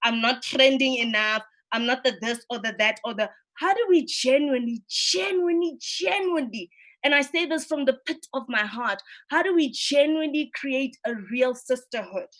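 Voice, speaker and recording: A woman in her thirties with a high voice hosts a discussion on a video call. She speaks earnestly and with animation, tossing in "you know?" and "right?" as she goes. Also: normal speed. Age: 30s. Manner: earnest, animated, many tag questions. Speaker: roundtable host. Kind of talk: virtual roundtable discussion.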